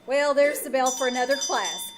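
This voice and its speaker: A woman playing a wry, quick-witted English teacher in an old-time radio comedy, with dry, sardonic delivery. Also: high voice, crowd noise, lightly acted. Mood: breezy, helpful. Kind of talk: old-time radio comedy acting before a live audience.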